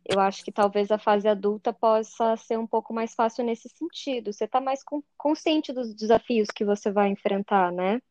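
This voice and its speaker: Lively woman with a Curitiba accent. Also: a little high, normal speed, hardly any pauses, no echo, muffled recording. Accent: Curitiba Brazilian Portuguese. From Curitiba, Brazil. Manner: animated storyteller, acts out remembered dialogue. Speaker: podcast co-host. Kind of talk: casual podcast conversation.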